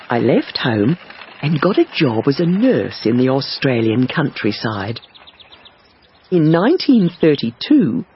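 Slow, expressive male audiobook reader. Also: on the high side, slight background noise. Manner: slow, careful, clearly paced reading. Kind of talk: scripted first-person narration for learners. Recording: dull lo-fi audiobook recording.

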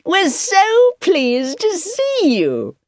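A woman voicing a smiling, energetic middle-aged hostess who gushes in a high voice. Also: very slow, no echo, clean studio sound, exaggerated voice. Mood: gushing.

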